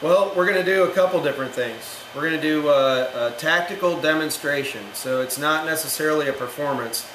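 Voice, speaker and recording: A man's voice: on the high side, fairly quick, few pauses. American man in an echoey, noisy room. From Rochester, New York, United States. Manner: plain-spoken, explanatory, frequent you-knows. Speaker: military aircraft maintainer, demo team chief. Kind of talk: informal interview answers. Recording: echoey room with clear background noise.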